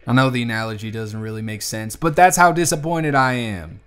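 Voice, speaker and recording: A man's voice: mid-range voice, brisk, a bit bright, smooth, lightly acted. A man playing a teasing, affectionate young bartender with a Southern US accent and a folksy manner, in a romantic audio roleplay. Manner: folksy, playful, affectionate drawl. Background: music.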